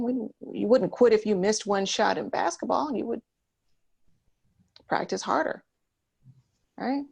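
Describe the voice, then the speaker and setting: A woman's voice: medium pitch, vocal fry, husky. A woman playing a patient, encouraging trauma therapist in a screenplay table read.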